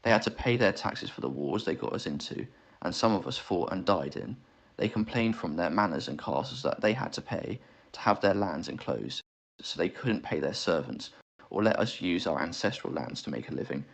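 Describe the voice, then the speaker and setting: A man's voice: deep voice, animated, normal speed, creaky, voice pushed down, exaggerated voice. A narrator voicing an earnest, aggrieved young English bushranger man in an audiobook story. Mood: grave. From England. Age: 20s.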